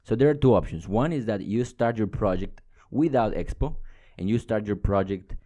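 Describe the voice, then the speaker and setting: A man's voice: lowish voice, lively intonation, moderate pace, dark tone. A young adult man giving an informal, explanatory coding tutorial as an instructor, speaking casually into a close microphone. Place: indoors at a computer.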